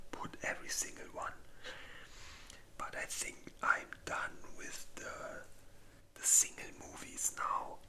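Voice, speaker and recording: Whispering ASMR host, crisp and breathy. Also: bright, forceful tone. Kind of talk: whispered ASMR movie ranking, casual chat.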